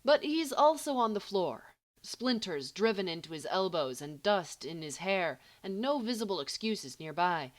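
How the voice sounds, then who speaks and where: A woman reading a story as scripted narration, expressively and with deliberate, emphatic phrasing. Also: mid-range voice, sing-song. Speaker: audiobook reader of fan fiction.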